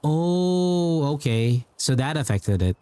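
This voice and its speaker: A man's voice: a little high, expressive, takes it slowly, mellow, smooth, dry recording. A man livestreaming a game, chatting casually and rambling as he repeats himself.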